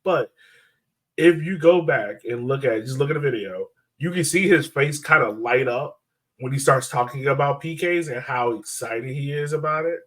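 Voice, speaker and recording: A man's voice: mid-range voice, normal speed. A man hosting a sports podcast in casual discussion, thinking aloud in an informal, conversational way.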